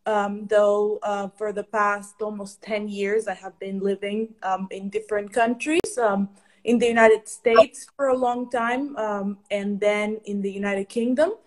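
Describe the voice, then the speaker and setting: Guest: woman from Ecuador, football consultant; measured, hesitant delivery with restarts. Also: medium pitch, fairly even intonation, poor audio. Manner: thoughtful, many ums and restarts. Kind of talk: interview answers.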